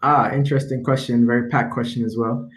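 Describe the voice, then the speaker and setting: A man's voice: mid-range voice, fairly even intonation, a little fast. Young Jamaican man, student guest, earnest and rambling, roomy echo on a home video call. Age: young adult. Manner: earnest, rambling, many fillers. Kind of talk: casual interview answers. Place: home room, indoors. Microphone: laptop webcam video call. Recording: echoey room on video call.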